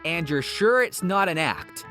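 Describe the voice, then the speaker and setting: A man's voice: fairly high pitch, sing-song, unhurried, music in the background. A man voicing a confident young male hedgehog hero in a comic dub, with a breezy, teasing way of talking.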